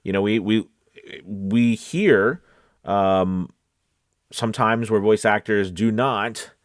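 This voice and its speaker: Male voice-over coach, lower register, very dry recording; informal advice delivery that leans on key words and checks in with the listener. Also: slow, no echo. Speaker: voice-over coach and casting director. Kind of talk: casual direct-to-viewer advice talk.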